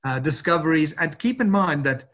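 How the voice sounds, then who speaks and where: Earnest man, slightly higher voice. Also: lively intonation, normal speed, a bit bright. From Southern Africa. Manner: earnest, expansive, repeats words and restarts phrases. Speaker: interview guest, researcher of ancient ruins. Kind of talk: long-form podcast interview.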